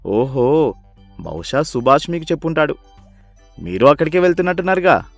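A man's voice: fairly high pitch, very animated, a little fast, soft background music. Man playing a sly, friendly young villager. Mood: feigned helpfulness. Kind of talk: dramatised moral story for cartoon.

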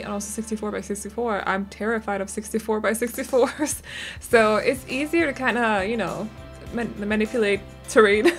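Young woman with a slightly rough voice. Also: mid-range voice. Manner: chatty, self-correcting, giggly. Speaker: Sims builder narrating a speed build. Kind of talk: casual voiceover commentary.

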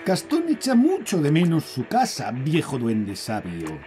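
A man's voice: on the high side, wildly swooping pitch, a little slow, dark tone, soft background music. A man with a Castilian Spanish accent voicing a fretful, politely grumbling middle-aged fairy king in a cartoon dub. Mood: polite, hesitant.

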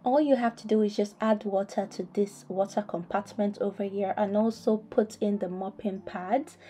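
A woman's voice: medium pitch, fairly even intonation, normal speed, dark tone, slight background noise. A young woman vlogger talking viewers through a home gadget demo in a chatty way, with lots of "um" fillers. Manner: chatty, many "um" fillers, addresses viewers as guys. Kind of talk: product demo voice-over. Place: home, indoors.

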